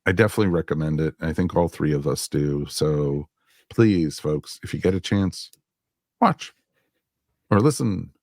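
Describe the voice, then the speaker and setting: Male podcast host, very low gravelly voice, dry close sound, loose conversational delivery. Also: expressive, moderate pace, a little mellow, no room sound. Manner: conversational, repeats himself, peppered with "you know". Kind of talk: casual podcast chat.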